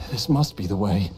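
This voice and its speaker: A man voicing a troubled but determined young man in an anime-style game, speaking in a halting, strained way. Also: on the low side, darkish tone. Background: game music.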